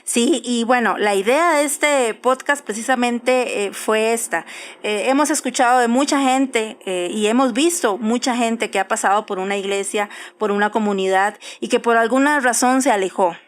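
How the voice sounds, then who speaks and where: A woman's voice: a little high, normal speed. A Costa Rican woman co-hosting a podcast, talking earnestly and casually with many fillers. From Costa Rica. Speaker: podcast co-host.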